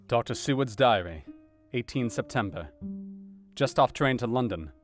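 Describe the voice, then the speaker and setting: A man's voice: mid-range voice, animated, moderate pace, close to an everyday voice. An actor playing an earnest, anxious doctor, narrating his diary in a grave, brooding, theatrical manner for an audio drama. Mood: anxious dismay. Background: plucked guitar music.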